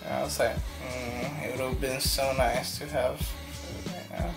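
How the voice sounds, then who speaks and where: A young man rambles casually to a nearby camera in a sleepy, dozy state. His pitch sits in the middle of the male range. Music plays clearly behind him. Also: steady tone, unhurried, poor audio. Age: young adult. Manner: talkative, rambling. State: drowsy after dental surgery. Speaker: vlogger. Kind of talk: casual vlog chat. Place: car, small house rooms and bedroom.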